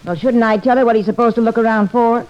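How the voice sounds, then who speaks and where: A woman's voice: a little high, steady tone, fast, natural voice. A woman playing a patient, slightly puzzled homemaker in an old-time radio family comedy, obliging but mildly exasperated. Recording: muffled old radio recording.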